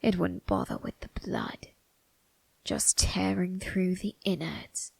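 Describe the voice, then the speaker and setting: A woman playing an eerie undead male undertaker in an ASMR roleplay, calmly menacing and obsessive, speaking in a breathy, creaky whisper with ominous, deliberate phrasing. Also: lowish voice, no room sound, heavily acted. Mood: brooding, sinister.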